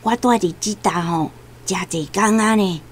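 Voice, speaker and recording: Radio drama grandmother played by a woman: elderly Taiwanese, mellow, plaintive, folksy and trusting. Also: medium pitch, moderate pace, breathy edge, natural voice. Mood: plaintive, chatty.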